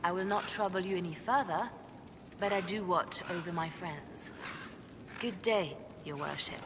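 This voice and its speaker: A guarded, low-voiced protective friend. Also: female voice, noisy background. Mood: solemn, guarded. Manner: measured, formal, pointed. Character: guarded, protective woman, loyal friend and quiet watcher. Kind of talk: video game cut-scene acting.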